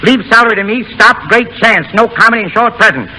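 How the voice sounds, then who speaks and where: An actor playing a brash, carefree burlesque comic who talks in cocky slang, in 1930s radio drama style. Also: male voice, sits high, lively intonation, fast, sharp tone, a little hiss or hum, full character voice, low-quality recording. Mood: dismissive. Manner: slangy, cocky wisecracking vaudeville talk. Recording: vintage 1930s radio broadcast, background music.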